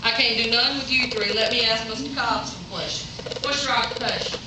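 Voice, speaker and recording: A woman's voice: a little high, brisk. A woman, playing the exasperated straight role in a live comedy skit and laughing often. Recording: echoey live room, mic far off, noisy.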